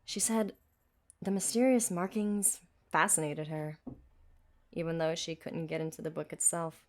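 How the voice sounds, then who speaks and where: Low-voiced desert mercenary played by a woman. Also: fairly even intonation, a little slow. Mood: reflective, candid. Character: frank female desert mercenary warrior, casual and reflective. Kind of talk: amateur game-quest dub.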